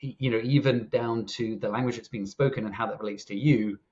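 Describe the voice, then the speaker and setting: A man's voice: mid-range voice, fairly even intonation, a little fast, darkish tone. A British man hosting a podcast interview, speaking casually and hesitantly, with frequent restarts. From Britain. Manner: hesitant, many restarts and fillers. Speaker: podcast host. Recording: slightly dull, muffled audio.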